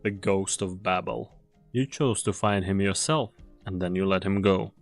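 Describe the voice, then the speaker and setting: A man playing a weary, fatalistic mercenary in an anime game fan dub, speaking gravely and deliberately. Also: low voice, fairly even intonation, soft background music. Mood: probing, suspicious.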